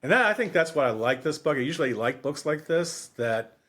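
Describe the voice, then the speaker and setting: A man's voice: fairly high pitch, lively intonation, brisk, fairly bright tone, breathy edge. Rambling man chatting on a book podcast. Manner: rambling, repeats phrases, self-correcting. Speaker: podcast co-host. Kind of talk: casual unscripted book discussion.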